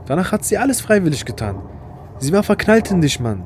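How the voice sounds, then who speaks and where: A man's voice: high voice, very animated, fairly quick, a little hiss or hum. An actor playing a brash, foul-mouthed drug dealer in his twenties in a radio drama, cocky and full of crude slang. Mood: blunt. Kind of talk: naturalistic German radio drama acting.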